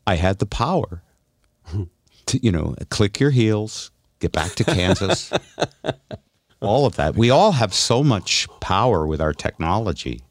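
A man's voice: on the low side, big pitch swings, takes it slowly, no room sound, studio quality. A middle-aged or older man hosting a casual comedic podcast, telling stories in a teasing, self-deprecating way. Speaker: radio show host.

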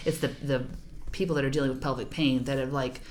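A woman's voice: extremely low pitch, steady tone, quick pace, darkish tone. A woman speaking as a podcast guest and physical therapist, explaining things in an agreeable, informal, conversational way. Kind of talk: casual interview conversation.

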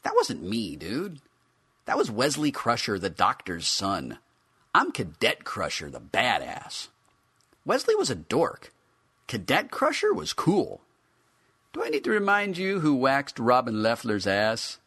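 A man's voice: mid-range voice, huge pitch swings, measured pace, sharp tone, breathy edge, no room sound, pitched above the performer's own voice, full character voice. A man voicing a brash, foul-mouthed talking action figure of a teenage space cadet, cocky and crude.

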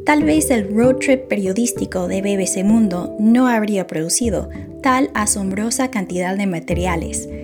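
A woman hosting a conversational podcast, introducing an episode and inviting her guests to speak in a friendly, relaxed way. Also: a little high. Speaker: podcast host.

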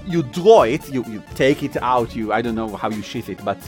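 A man with an Israeli accent explaining and narrating as game master in a halting, casual, enthusiastic way. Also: medium pitch, expressive, a little mellow, faint music behind. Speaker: game master, game designer and writer.

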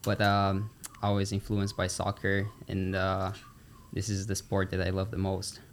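Young Brazilian man, low creaky voice. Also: completely flat delivery, a little slow, slight background noise. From São Paulo, Brazil. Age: teens or young adult. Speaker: student podcast guest. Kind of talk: casual interview chat.

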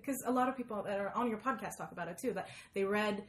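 Woman in an echoey room. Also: mid-range voice. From Sweden. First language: Swedish. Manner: animated, halting, self-interrupting. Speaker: podcast host being interviewed. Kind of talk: casual conversational storytelling. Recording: roomy echo, background noise.

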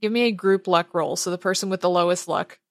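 A woman's voice: lowish voice, steady tone, fast talker, dry recording. Woman game master, close dry sound. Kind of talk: narrating a tabletop role-playing game.

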